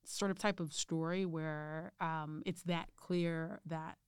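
A woman's voice: low voice, fairly even intonation, slow, smooth, dry recording, studio quality. A woman interviewing in a casual conversation, thinking aloud and searching for words.

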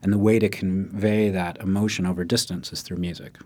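Low-voiced man explaining with lively asides. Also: fairly quick. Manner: animated, acts out quotes in raised voice, frequent "right?". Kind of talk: conversational explanation.

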